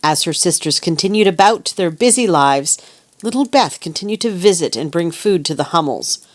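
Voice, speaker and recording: A woman's voice: on the low side, lively intonation, normal speed, lightly acted. A woman narrator reading scripted storybook narration in an audio play.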